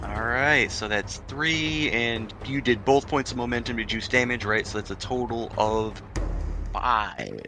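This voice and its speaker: A man's voice: mid-range voice, expressive, measured pace, noisy background. A man running a tabletop role-playing game as game master, talking informally with the odd swear word.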